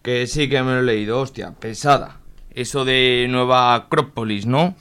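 A man's voice: mid-range voice, normal speed, fairly bright tone, smooth, close to an everyday voice. A man playing a curt, irritable middle-aged family man in a radio serial, with an accent from Spain. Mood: irritated. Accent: Spanish from Spain. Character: grumpy conservative middle-aged family man, fed up and evasive.

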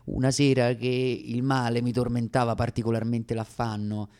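Guy doing a theatrical radio monologue, medium pitch, wry and deliberate with dramatic pauses. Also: level tone, a little mellow, clear, resonant tone. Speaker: stage actor, monologuist.

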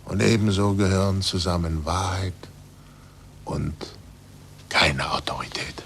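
An actor playing an aged, bitter, resigned scientist in a 1940s radio drama, speaking formally and deliberately. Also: male voice, sits low, gravelly, fairly bright tone, exaggerated voice. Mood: bitter, lecturing. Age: older. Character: aged recanted scientist under church supervision, bitter and resigned. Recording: noisy 1940s radio recording.

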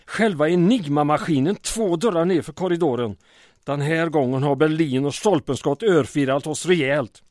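An actor playing a small-town mayor under occupation in an audio drama, earnest but wry and weary. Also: male voice, on the high side, darkish tone, no room sound, studio quality, close to an everyday voice.

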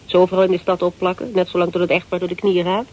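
A woman's voice: fairly low pitch, little pitch movement, very fast, a little mellow, muffled recording, close to an everyday voice. Radio play actress as female detective: cool-headed, curt, clipped delivery, noisy ambience. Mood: brisk, cool. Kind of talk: Dutch radio play acting.

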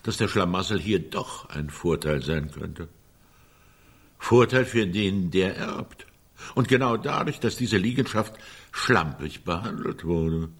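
An actor playing a pragmatic, sarcastic middle-aged older brother in a German radio play, dry and given to emphatic repetition. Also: male voice, low voice, expressive, slow pace, husky, full character voice. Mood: sardonic. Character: pragmatic, sardonic older brother, a business consultant.

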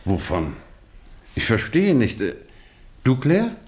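An actor playing a polite, formal, sceptical English police gentleman in a German radio drama. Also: mid-range voice, huge pitch swings, slow. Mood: courteous, inquiring.

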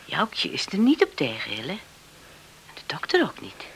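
A woman's voice: low-pitched, huge pitch swings, a little slow, husky, exaggerated voice, poor audio. A woman playing a kindly, insistent neighbour in a radio play. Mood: earnest, placating. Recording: old radio recording with faint hiss.

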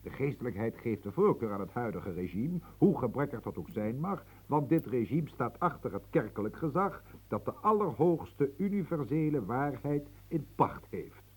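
An actor playing a sober, dutiful secretary in a radio play, speaking in a measured, earnest, lecturing way. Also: male voice, medium pitch, big pitch swings, husky, low-quality recording. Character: sober male secretary in a dark suit, calm and dutiful. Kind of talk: radio drama acting.

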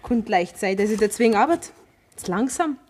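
A pert young farm maid in a radio play. She has a Bavarian accent and a coquettish, teasing way of talking, at a medium female pitch. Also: lively intonation, normal speed, a little mellow, slightly rough. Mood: coy, teasing. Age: young adult. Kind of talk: radio drama acting.

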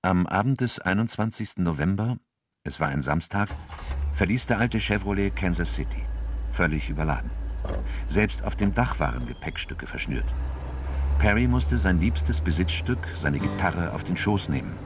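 An actor narrating a true-crime radio drama in a sober, scripted storytelling manner. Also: male voice, low voice, lively intonation, moderate pace, background noise.

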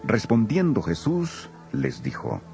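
A man narrating a dramatized scripture reading as an expressive storyteller. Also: on the low side, slow pace, gravelly, faint music behind.

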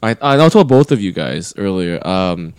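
A man's voice: low voice, very animated, unhurried, a little mellow, smooth, no room sound. A man in his twenties, swearing freely and laughing often as he tells stories on a casual podcast chat. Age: 20s. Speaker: podcast host. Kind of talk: casual chat among friends.

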